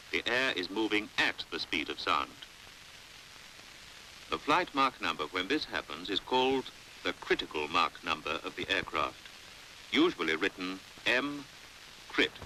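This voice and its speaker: A British man narrating a 1950s educational film in a measured, precise, didactic way, on an old hissy soundtrack. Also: deep voice, pushed and bright, rough recording. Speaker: documentary narrator. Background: faint hiss.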